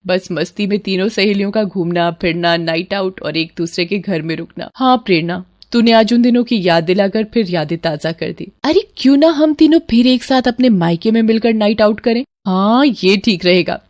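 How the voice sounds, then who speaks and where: A woman's voice: medium pitch, close to an everyday voice. A woman storyteller voicing young married women friends who chat affectionately and nostalgically. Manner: chatty, nostalgic.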